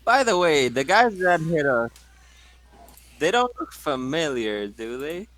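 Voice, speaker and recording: A man's voice: fairly high pitch, sing-song, measured pace, fairly bright tone. A man playing a tabletop game, chatting casually with lots of swearing and wisecracks. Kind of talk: casual profane game chat.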